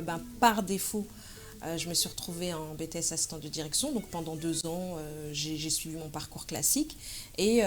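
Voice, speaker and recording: A woman of about forty from France, answering interview questions in a measured, hesitant way with frequent pauses and fillers. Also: fairly low pitch. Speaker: interview guest, association president.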